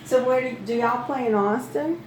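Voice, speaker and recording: A man's voice: very high-pitched, moderate pace. A man in casual chat, giving short, interested responses. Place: dining room at home, indoors. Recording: echoey room with loud handling noise.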